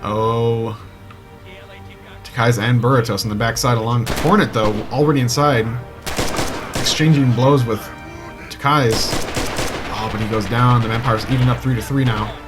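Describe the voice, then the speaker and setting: A man giving live play-by-play commentary on an online shooter match, getting excited at kills and stumbling over player names. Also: mid-range voice, moderate pace, long pauses. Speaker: esports shoutcaster. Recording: loud game music and gunfire behind.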